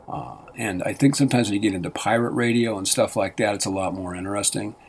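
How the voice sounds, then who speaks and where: A lowish, husky man's voice talks on a radio show. He wanders through his points in a loose, rambling way, full of restarts and filler words. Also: fast talker. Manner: meandering, many fillers and restarts. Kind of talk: rambling casual radio chat.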